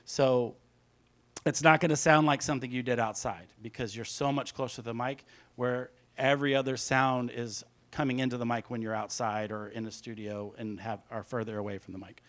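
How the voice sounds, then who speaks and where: A man's voice: medium pitch, fairly even intonation, fairly quick. A man, a music producer, giving an informal, rambling hands-on presentation, talking through each step aloud as he goes. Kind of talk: casual hands-on audio production talk.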